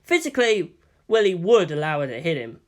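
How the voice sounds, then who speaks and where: A male narrator reads a story aloud in an expressive audiobook style. His pitch is very lively, rising and falling widely through the prose. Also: brisk.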